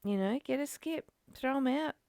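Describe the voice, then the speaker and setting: A woman's voice: high-pitched, expressive, moderate pace, a little mellow, no echo, studio quality. A woman reading aloud and reflecting in casual discussion, in a musing, questioning and conversational manner. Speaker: study group co-host, reader.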